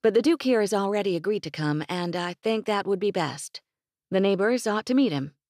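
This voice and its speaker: A woman's voice: medium pitch, expressive, a little fast, a little mellow, no room sound, clean studio sound, pitched above the performer's own voice, natural voice. A woman voicing a spirited young ranch woman with a teasing, bossy drawl, read in an audiobook. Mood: playful.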